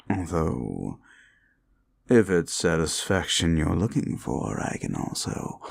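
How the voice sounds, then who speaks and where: A man playing a cruel, sneering demon in an ASMR roleplay, sardonic and condescending, with a gravelly voice. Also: sits low, full character voice. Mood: menacing, seductive. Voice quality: gravelly, creaky. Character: cruel, sneering male demon bargaining for wishes. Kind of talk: scripted ASMR roleplay addressed to the listener.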